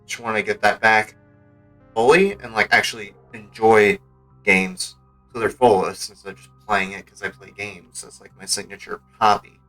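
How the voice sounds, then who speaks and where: Male game streamer, lowish bright voice, loose rambling delivery, faint music behind. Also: low-quality recording. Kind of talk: casual livestream gaming chat.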